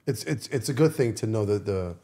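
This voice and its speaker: A man with a fairly low voice co-hosts a podcast. He speaks in a casual, agreeable way, often doubling up short words and phrases as he goes. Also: animated, brisk, dark tone. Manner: agreeable, interjects short affirmations, repeats words. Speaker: podcast co-host. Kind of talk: casual chat.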